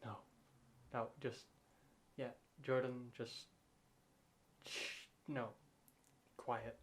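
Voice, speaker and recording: A man's voice: mid-range voice, flat delivery, extremely slow, a bit bright. A man reacting casually and joking, rambling with hesitant restarts. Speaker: co-host reacting.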